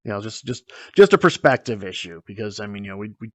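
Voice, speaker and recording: An American man hosting a casual conversation, asking questions in a halting way with many restarts. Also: lowish voice, big pitch swings, fairly bright tone, no room sound, studio quality. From United States. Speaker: host.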